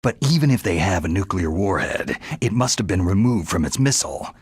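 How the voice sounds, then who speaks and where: A man voicing a gruff, sardonic veteran special forces soldier in a video game, with a gravelly, husky voice and a terse, skeptical manner. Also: sits low, animated, fairly quick, no echo, studio quality, full character voice. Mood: probing, serious.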